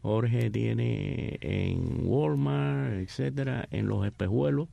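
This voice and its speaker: A man's voice: medium pitch, slow, gravelly, mellow, no room sound, dull audio. An older man, a guest on an interview, telling proud anecdotes about his son. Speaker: guest, father of an actor.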